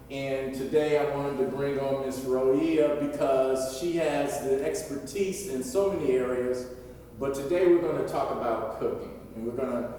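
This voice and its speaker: Guy hosting, mellow voice, unhurried with lots of uhs, echoey room far from the mic. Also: on the high side, slight background noise. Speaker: host. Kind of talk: casual talk show hosting.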